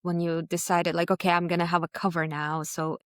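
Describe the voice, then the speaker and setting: Lower-voiced woman podcast host. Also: a little fast, clean tone, dry recording, studio quality. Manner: relaxed, encouraging, recaps the guest's answers. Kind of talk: casual interview questions.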